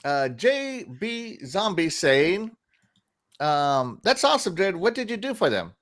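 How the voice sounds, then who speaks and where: An upbeat man leads a casual podcast with a voice that sits a little high. He laughs easily and fills his pauses with ums as he reads the viewer chat aloud. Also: big pitch swings, a bit bright. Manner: upbeat, laughs often, hesitant fillers. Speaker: podcast host reading chat.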